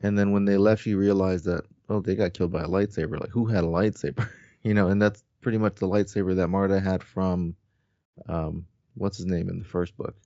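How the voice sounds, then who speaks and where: A man's voice: sits low, steady tone, brisk, dark tone, no room sound, lo-fi sound. A man co-hosting a podcast, recounting story details in a loose, informal way. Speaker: podcast co-host. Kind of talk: casual book recap discussion.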